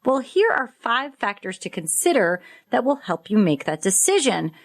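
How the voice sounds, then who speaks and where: A woman co-hosting a radio advice show, presenting semi-scripted tips in a clear, instructive way. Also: on the high side, sing-song, moderate pace. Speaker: co-host of a home improvement radio show. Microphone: studio microphone.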